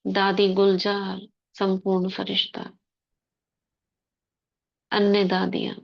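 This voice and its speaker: Slow, flat-voiced meditation guide woman. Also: mid-range voice, gravelly, dull audio. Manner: slow, soft, spoken in short phrases with long pauses.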